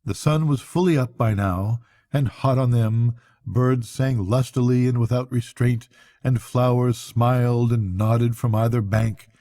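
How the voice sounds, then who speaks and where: An older man narrates a children's bedtime story. His voice is soft-edged and mellow, sitting at an average pitch for a man. The recording is dry, with little room sound. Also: steady tone, unhurried, no room sound.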